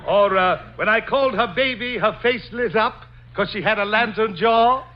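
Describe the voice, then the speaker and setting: An actor playing a pompous, hammy poet who declaims silly verse in 1940s radio comedy, on a muffled noisy broadcast. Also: male voice, sits high, moderate pace, natural voice. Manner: grandiloquent, declamatory.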